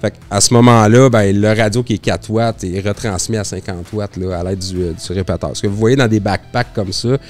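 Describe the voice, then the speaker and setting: A man with a Québécois accent talks in a lowish voice, explaining radio equipment in a relaxed, rambling podcast manner full of restarts. Faint music sits under the studio recording. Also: brisk, hardly any pauses. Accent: Québécois French. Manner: explains at length with many restarts and fillers. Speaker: radio communications expert guest. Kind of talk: casual explanatory podcast talk.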